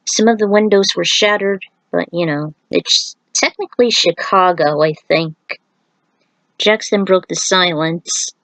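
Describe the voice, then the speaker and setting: Female amateur narrator, slow-paced, dry close sound, story reading with informal asides. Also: lowish voice, a bit bright, dry recording, low-quality recording. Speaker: narrator reading own fan fiction.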